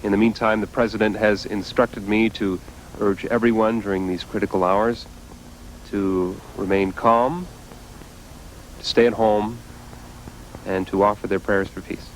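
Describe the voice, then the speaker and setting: An actor as a male government spokesman in a TV drama, with a lowish voice. He reads an official statement soberly and deliberately, over a faintly noisy broadcast. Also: steady tone, close to an everyday voice. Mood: grave, reassuring. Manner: deliberate, formal. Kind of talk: formal televised official statement.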